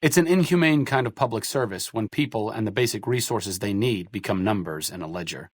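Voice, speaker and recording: A man's voice: fairly low pitch, expressive, a little fast, husky, no echo, clean studio sound. An actor playing a wry, bookish, idealistic male doctor in a post-apocalyptic video game, articulate and dryly witty. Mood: thoughtful. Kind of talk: video game companion dialogue.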